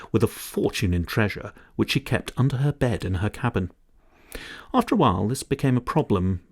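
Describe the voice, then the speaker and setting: A man reading a children's storybook aloud in expressive, scripted narration. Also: on the low side, no echo, clean studio sound. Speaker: audiobook narrator.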